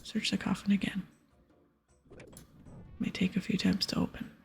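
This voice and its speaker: A woman doing ASMR game commentary, reading aloud and chatting casually in a breathy whisper. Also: medium pitch, little pitch movement, normal speed, faint music behind.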